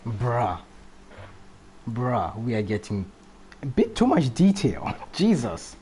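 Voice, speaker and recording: A man's voice: medium pitch, huge pitch swings, takes it slowly, warm tone. A man live-streaming a game with casual, slangy commentary, reacting aloud. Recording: faint background noise.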